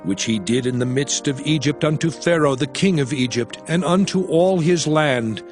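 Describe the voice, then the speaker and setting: A man playing a solemn prophet who exhorts his people in a measured, declamatory delivery for a dramatized scripture recording. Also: on the high side, lively intonation, soft background music, natural voice.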